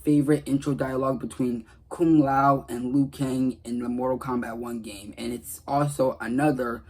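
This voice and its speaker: Casting: male gaming commentator with a mid-range, husky voice, sincere and rambling, casually talking through his thoughts and repeating himself. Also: mid-range voice, fairly even intonation, normal speed, darkish tone. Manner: earnest, repetitive, rambling. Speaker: gaming video creator. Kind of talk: casual commentary on game dialogue.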